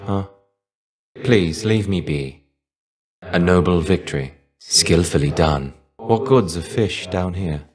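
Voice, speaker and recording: A man playing a weary, melancholy shade of a fallen ancient Greek warrior in a video game, measured, wistful and dryly understated. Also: low voice, a little mellow. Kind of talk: video game character voice lines.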